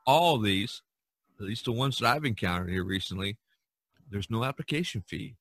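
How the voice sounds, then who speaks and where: A man hosting a casual podcast discussion, talking in a rambling, halting way with repeated phrases. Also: fairly low pitch, animated, a bit bright, no echo. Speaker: podcast host and artist.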